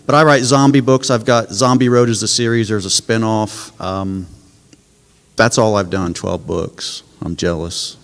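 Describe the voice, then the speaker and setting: A man's voice: on the low side, steady tone, measured pace. A man speaking on a conference panel, casual and wry. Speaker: panelist author. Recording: echoey hall with long reverb.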